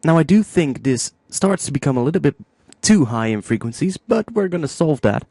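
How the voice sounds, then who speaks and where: Mellow-voiced man giving a tutorial. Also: a little high, very animated, fairly quick, no echo, studio quality. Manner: informal, thinking aloud while demonstrating. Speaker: music production tutorial host. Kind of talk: casual step-by-step software tutorial.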